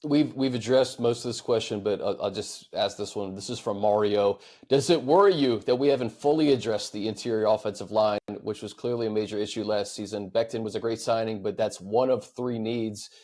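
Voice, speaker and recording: A man's voice: on the low side, a little fast, few pauses, darkish tone. A man co-hosting a sports talk show, casually discussing the team and putting fan questions to his partner.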